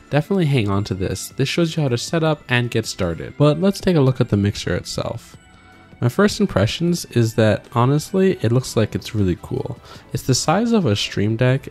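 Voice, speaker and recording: A man presents a scripted gadget review in an upbeat presenter style. His voice sits at an average pitch, with music playing behind it. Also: darkish tone. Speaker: tech review vlogger. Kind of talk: scripted product review voice-over. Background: background music.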